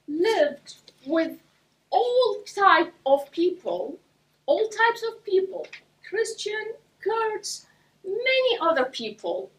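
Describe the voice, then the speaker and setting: A woman from Iraq giving a prepared speech to a club audience, speaking slowly and deliberately with pauses between phrases. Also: very high voice, a bit bright. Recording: voice from a distance.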